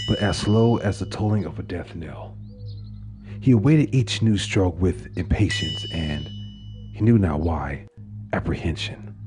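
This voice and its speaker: A man giving a measured, solemn narration of a literary dark tale. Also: low voice. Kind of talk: dramatic horror-tale narration of a literary short story. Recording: music under the voice. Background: music.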